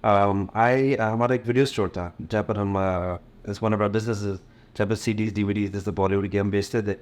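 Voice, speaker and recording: Middle-aged US promoter, Gujarati native, low voice, easygoing bilingual interview talk. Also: male voice, rapid-fire. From USA, born in India. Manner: relaxed, mixes English with Hindi, frequent fillers and light laughs. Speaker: event promoter, interview guest. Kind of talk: casual interview conversation.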